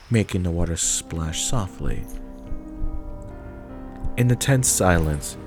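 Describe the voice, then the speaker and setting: Male narrator, deep voice, scripted storytelling over a faint music bed.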